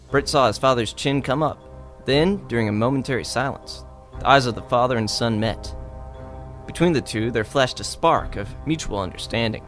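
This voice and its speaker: Male radio drama narrator over music. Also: mid-range voice. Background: faint music.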